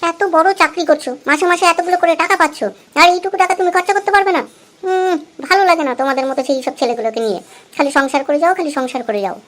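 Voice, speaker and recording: A woman's voice: extremely high pitch, very fast. A woman voicing a nagging, easily annoyed young wife in an amateur comedy cartoon. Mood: sulky.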